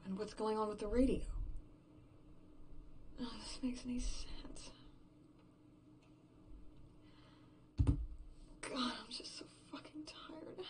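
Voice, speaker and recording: A woman acting an exhausted, frightened survivor in a horror audio drama monologue, haltingly thinking aloud and repeating herself. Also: fairly high pitch, noisy background, natural voice. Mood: exhausted, bewildered. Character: exhausted, frightened woman game writer, alone after everyone vanished.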